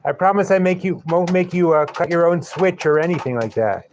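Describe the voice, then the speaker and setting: A man with a Brooklyn accent chats casually with viewers on a live stream, high-pitched and joking. He rambles from topic to topic. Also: fairly quick, slight background noise. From New York.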